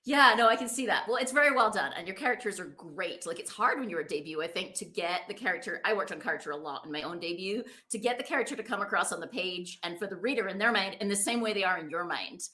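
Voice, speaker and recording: A woman's voice: mid-range voice, lively intonation, quick pace, few pauses, bright, forceful tone. A woman hosting a casual conversational interview about books, chatting as a fellow author. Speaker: podcast host, author.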